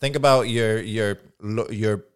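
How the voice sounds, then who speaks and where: This man in his forties has a Puerto Rican accent and a deep, smooth, resonant voice. He talks candidly and reflectively as an interview guest, with frequent restarts. He swears casually along the way. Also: fairly even intonation, a little slow. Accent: Puerto Rican Spanish. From Puerto Rico. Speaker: guest, firearms instructor.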